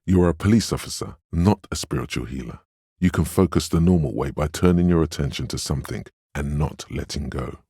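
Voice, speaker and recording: The grave inner voice of a middle-aged man's willpower for a video game. It is extremely low and a little husky, recorded close and dry. The delivery is measured and admonishing, with a dry wit and a protective firmness. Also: warm tone, dry recording, exaggerated voice. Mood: dry, cautioning. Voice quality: very deep, solemn. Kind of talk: scripted game narration in second person.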